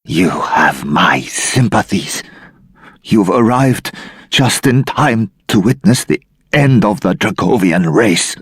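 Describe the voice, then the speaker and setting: Very husky, weary dragon-folk man. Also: on the low side, expressive, no echo, studio quality, heavily acted. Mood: weary, resigned. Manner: halting, world-weary. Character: weary, resigned male villager of dying dragon race. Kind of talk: scripted game voice acting.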